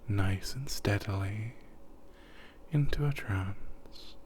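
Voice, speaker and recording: A man talks the listener toward sleep in a soft, often half-whispered voice, circling back over the same phrases again and again. His voice sits a little low, and he takes his time over every word. A faint hiss runs underneath. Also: slow. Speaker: hypnotist. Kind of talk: sleep hypnosis script.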